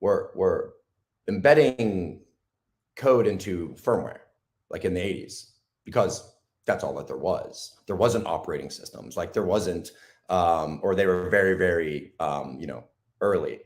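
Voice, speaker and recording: Low-voiced hoarse male guest, halting and casual. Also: animated. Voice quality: raspy. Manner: halting, lots of ums and restarts. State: hoarse from days of talking. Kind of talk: casual interview talk.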